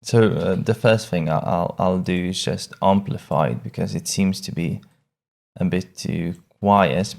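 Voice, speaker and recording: Slow, low-voiced man giving a tutorial. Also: vocal fry, darkish tone. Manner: hesitant, halting delivery with repeated words. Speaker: tutorial presenter. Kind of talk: casual software tutorial narration.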